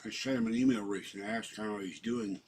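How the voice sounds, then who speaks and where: Husky middle-aged man from Pittsburgh. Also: fairly low pitch, steady tone, fast. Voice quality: husky, keeps clearing his throat. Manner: meandering, chatty, warm sign-offs to viewers. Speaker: vlogger. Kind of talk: casual rambling vlog chat.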